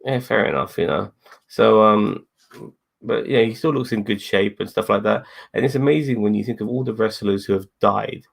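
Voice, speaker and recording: A man's voice: lowish voice, normal speed, vocal fry. A man co-hosting a casual wrestling review, rambling, repeating himself and swearing freely. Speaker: co-host.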